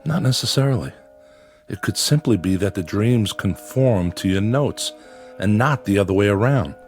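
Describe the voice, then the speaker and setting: A man playing a calm, skeptical psychiatrist in a radio drama, speaking in a measured, clinical, reassuring manner. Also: lowish voice, faint music behind. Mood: probing, measured.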